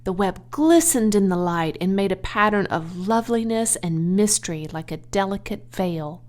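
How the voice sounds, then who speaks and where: A woman reading a children's audiobook aloud as an expressive storyteller. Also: medium pitch, normal speed. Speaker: audiobook reader.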